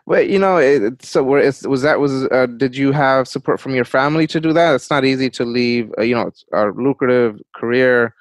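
Halting man asking questions. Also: mid-range voice, no echo. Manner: halting, repeats words, many fillers. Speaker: interviewer. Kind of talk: conversational interview.

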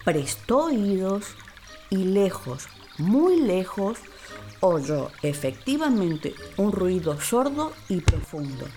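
A woman narrating a scripted children's story in an expressive, theatrical way. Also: mid-range voice, takes it slowly, soft background music.

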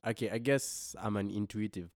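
A young man from Kenya, a musician guest on a podcast, talking thoughtfully with frequent pauses and restarts. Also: on the low side, no echo, clean studio sound. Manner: thoughtful, hesitant with many restarts. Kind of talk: reflective podcast conversation.

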